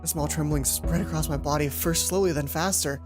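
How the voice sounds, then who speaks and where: A let's play host narrates a visual novel game with music behind. The delivery is expressive storytelling at a middling pitch, broken by casual asides. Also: fairly quick.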